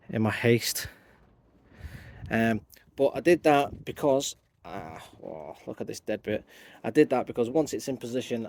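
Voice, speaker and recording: A man with a croaky voice talking casually through his bonsai work as host of his channel, thinking aloud as he goes, outdoors. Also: medium pitch, a little mellow. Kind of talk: casual hands-on tutorial talk.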